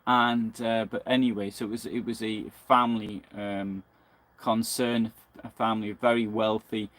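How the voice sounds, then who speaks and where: Halting man giving history analysis, close dry sound. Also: lowish voice, flat delivery, fairly bright tone, no echo. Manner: halting, searching delivery with frequent self-corrections; argumentative, reasoning points aloud. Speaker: history presenter. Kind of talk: unscripted live historical analysis.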